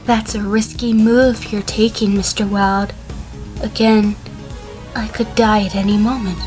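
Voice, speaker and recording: A woman playing a wary sheep defendant in a fan audio drama, giving measured, dry testimony. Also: mid-range voice, level tone, darkish tone, soft background music, natural voice. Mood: composed, wary. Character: female sheep defendant, a wary, composed former conspirator.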